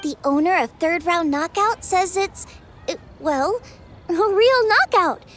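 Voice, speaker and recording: A woman's voice: very high voice, animated, unhurried, fairly bright tone, full character voice. A woman voicing a cheerful, eager young chef in a game, bubbly and enthusiastic.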